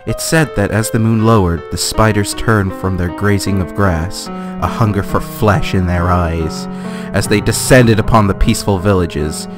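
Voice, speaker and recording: A man with a fairly low voice reads a fantasy guidebook aloud. His delivery is theatrical and deliberate, like a dramatic reading for a game video. Guitar music plays clearly behind him. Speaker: let's play gamer.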